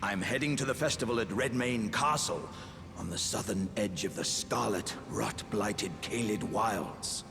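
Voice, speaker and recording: A man's voice: fairly low pitch, measured pace, vocal fry. A man with a British accent voicing a hearty, pompous, good-natured living warrior jar in a theatrical fantasy game style. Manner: grandiose, jovial, old-fashioned gentlemanly phrasing. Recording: music behind the voice.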